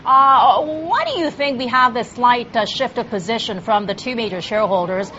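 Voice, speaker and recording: A woman anchoring TV business news, reading the script smoothly and then ad-libbing with hesitant fillers. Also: fairly high pitch, animated, moderate pace, pushed and bright, highs cut off, slight background noise.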